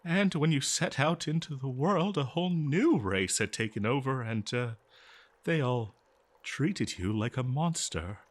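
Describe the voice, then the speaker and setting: A man's voice: mid-range voice, expressive, a little slow. A man playing an eager, kindly archaeologist in a fantasy audio roleplay, speaking in a hesitant, stammering, scholarly way straight to the listener. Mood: sympathetic, halting. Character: eager, kindly male archaeologist befriending a lonely monster. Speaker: audio drama performer. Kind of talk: scripted fantasy audio roleplay, one-sided dialogue to listener.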